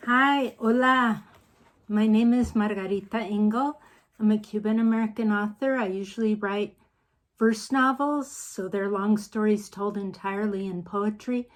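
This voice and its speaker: An older woman author speaking in an unhurried, storytelling manner in a casual video message. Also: mid-range voice, low-quality recording.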